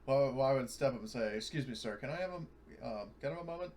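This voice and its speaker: A man's voice: medium pitch, expressive, fast talker, noisy background. A man playing in a tabletop roleplaying game, switching casually between narrating and speaking in character.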